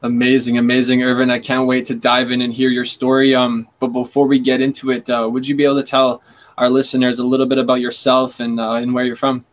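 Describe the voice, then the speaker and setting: Flat-voiced male podcast host. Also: mid-range voice, fast talker. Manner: enthusiastic, reads a scripted introduction. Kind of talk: podcast interview hosting.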